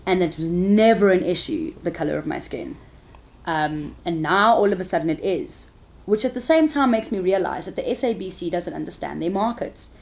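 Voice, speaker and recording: A woman's voice: fairly low pitch, big pitch swings, moderate pace, creaky, darkish tone. A woman, a TV presenter answering interview questions frankly, with laughing asides. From South Africa.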